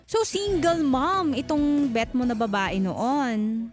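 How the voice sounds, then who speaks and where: Higher-pitched Filipino woman interviewing on radio. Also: big pitch swings, takes it slowly. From a province in the Philippines. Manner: teasing, inquisitive. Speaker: radio show host. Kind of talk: casual interviewing and banter. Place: radio studio. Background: music.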